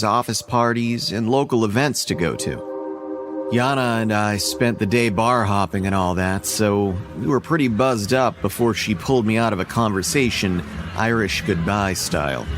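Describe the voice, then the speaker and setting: Casting: an actor as a dry, sardonic man in his thirties telling his own tale, lowish voice, audio drama narration. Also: lightly acted. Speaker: first-person narrator.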